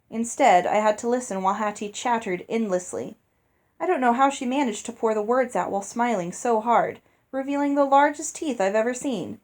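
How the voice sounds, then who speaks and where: A woman's voice: on the high side, close to an everyday voice. A woman reading the storybook journal of an earnest, puzzled young prince. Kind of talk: storybook journal read aloud.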